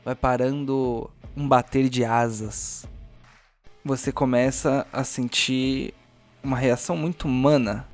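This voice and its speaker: A Brazilian man narrating a tabletop game as game master, in a deliberate, theatrical storyteller's manner. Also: mid-range voice, fairly even intonation, soft background music.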